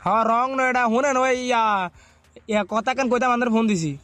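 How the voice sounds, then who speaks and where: High-pitched, fast-talking Noakhali man on the phone. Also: heavy background noise. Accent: Noakhali Bangladeshi. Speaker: prank caller. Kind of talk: casual prank phone call. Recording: phone call with faint music.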